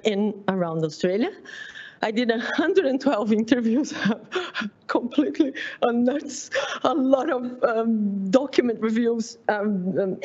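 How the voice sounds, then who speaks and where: A woman with a Brazilian Portuguese accent presenting her PhD research at a seminar, often pausing on "um", correcting herself and laughing at herself. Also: medium pitch, a little mellow, slightly rough, dull audio. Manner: frequent "um" fillers, self-correcting, laughs at herself.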